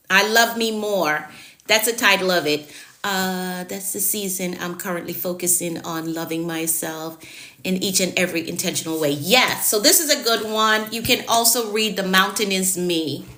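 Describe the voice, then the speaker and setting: A Jamaican woman in her late thirties chats on a live stream, bright and direct, teaching like a coach. The room around her sounds open and echoey. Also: mid-range voice. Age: 30s. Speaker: productivity coach. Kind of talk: casual live-stream talk.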